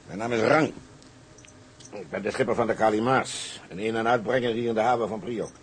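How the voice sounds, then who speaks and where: An actor playing a boastful, wily older tugboat skipper with a salty, colloquial way of telling things. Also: male voice, lowish voice, fairly quick, a little hiss or hum, natural voice. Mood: genial, confiding. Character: wily, boastful old colonial tugboat skipper, a persuasive schemer. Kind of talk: dramatised dialogue, storytelling in character.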